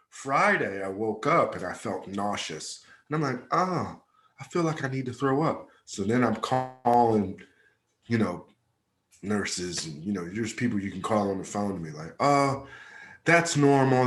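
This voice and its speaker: A man's voice: lowish voice, fairly bright tone. A man with a stuffed-up, congested voice, telling stories in a laid-back, slangy way as a podcast co-host. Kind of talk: casual chat.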